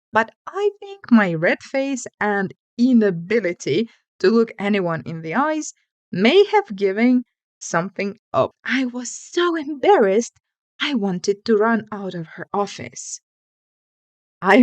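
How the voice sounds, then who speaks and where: Animated, high-voiced woman reading stories. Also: no room sound. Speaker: host reading online stories. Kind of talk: expressive story reading with casual asides.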